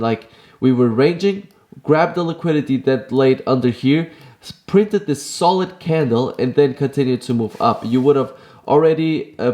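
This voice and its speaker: A young man vlogging his day and casually talking through trading charts, relaxed and self-deprecating, with frequent fillers. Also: medium pitch. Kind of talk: casual vlog and market commentary.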